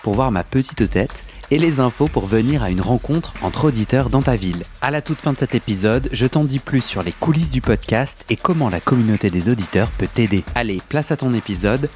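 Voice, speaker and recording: A man's voice: mid-range voice, animated, brisk, hardly any pauses, darkish tone, faint music behind. A man hosting a podcast, reading his intro and then interviewing a guest, summing up the guest's story with many fillers. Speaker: podcast host.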